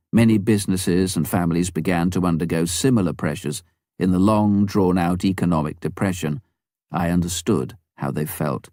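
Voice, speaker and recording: Low-voiced man reading a memoir. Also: warm tone, dry recording, clean studio sound. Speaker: audiobook narrator. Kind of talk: scripted narration of a memoir.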